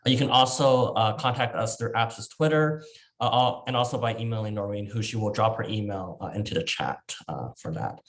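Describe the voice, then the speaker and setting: A man moderating a webinar panel over a video call in a casual, conversational way. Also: mid-range voice. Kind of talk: casual hosting of an online panel.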